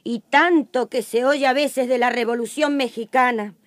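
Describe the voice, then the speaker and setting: A woman's voice: on the high side, normal speed, music in the background, natural voice. A woman playing a skeptical, plain-speaking listener who questions things colloquially in a radio drama.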